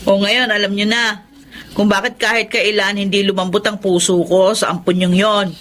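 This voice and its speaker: A woman's voice: mid-range voice, little pitch movement, normal speed, fairly bright tone, slight background noise, close to an everyday voice. A woman playing a bitter, scornful grown child. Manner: scornful, scolding. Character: bitter resentful adult child, sharp-tongued. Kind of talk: radio drama acting.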